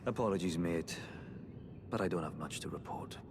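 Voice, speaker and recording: Wolfish sworn swordsman played by a man: low, gruff, blunt game voice, clear background noise. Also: normal speed, lightly acted. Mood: frustrated, menacing. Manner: blunt, plain-spoken, matey. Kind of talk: video game character dialogue.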